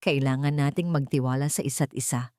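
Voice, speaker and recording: A woman's voice: very deep voice, fairly even intonation, brisk, a little mellow, dry recording, pitched below the performer's own voice. Woman voicing a grave, urgent Filipino businessman. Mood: serious. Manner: serious, weighty, pressing. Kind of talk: dramatic audio story reading.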